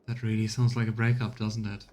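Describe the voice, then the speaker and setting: A man's voice: fairly low pitch, level tone, a little fast, a little mellow. A man giving casual, musing commentary while streaming a game, often trailing off mid-thought. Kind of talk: casual live let's play commentary.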